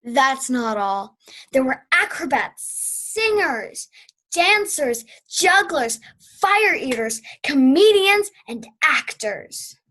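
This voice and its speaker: A woman's voice: high-pitched, very animated, slow, a bit bright, full character voice, low-quality recording. A young woman playing an eccentric, dramatic old aunt and former vaudeville performer in an amateur radio play, theatrical and grandly reminiscing. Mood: excited, proud.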